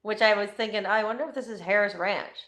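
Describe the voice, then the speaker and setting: A woman's voice: mid-range voice, fairly even intonation, quick pace, a bit bright. A woman co-hosting a casual chat show, playful and wry, laughing often. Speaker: co-host.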